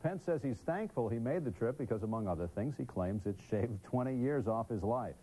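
A middle-aged man anchoring the news from a TV studio, smooth and polished. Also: medium pitch, expressive, a little fast, dark tone, slight background noise, poor audio.

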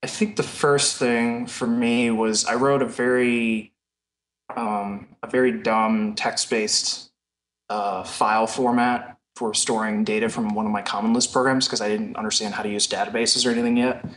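A man's voice: fairly low pitch, level tone, normal speed, fairly bright tone, rough recording. A man giving casual, explanatory answers as a programmer guest in a technical interview.

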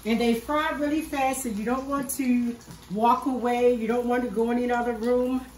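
A woman's voice: on the high side, steady tone, normal speed, a little mellow. Woman cooking in a roomy home kitchen. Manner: homey, step-by-step explaining. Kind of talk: casual cooking demonstration. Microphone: far camera on the counter. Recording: roomy kitchen sound from a distance.

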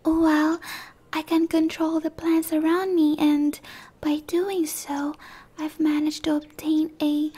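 A shy young dryad played by a woman speaks in a breathy ASMR roleplay whisper. The voice is high and quite even, moving slowly. The delivery is gentle, earnest and a little hesitant. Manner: hesitant, soft-spoken, earnest. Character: shy, gentle young female dryad, a tree-bound monster girl.

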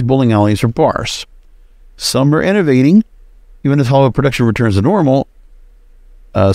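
A man's voice: mid-range voice, a little mellow, dry recording, clean studio sound. A man hosting a podcast solo with casual, conversational commentary, dropping opinionated asides in short, choppy sentences. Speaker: podcast host.

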